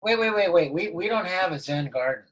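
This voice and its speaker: A man's voice: high voice, lively intonation, brisk, fairly bright tone, slightly rough, heavily acted, poor audio. A man reading the part of an earnest, goofy suburban dad at a sitcom table read.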